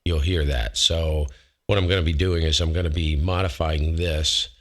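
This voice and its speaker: A man with a low voice hosting a ham radio show, talking casually through a microphone demo from his home shack on a close dynamic mic, rambling and correcting himself as he goes. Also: fairly even intonation, normal speed, a bit bright. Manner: rambling, self-correcting, folksy. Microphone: close dynamic studio mic.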